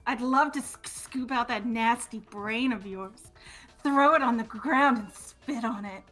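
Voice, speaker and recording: A woman's voice: on the high side, a little slow, a bit bright, faint music behind, rough recording. A woman voicing a stammering, haughty, venomous young woman with an obsessive devotion to her master, in a fan-voiced game. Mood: spiteful. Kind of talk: fan-voiced visual novel game acting.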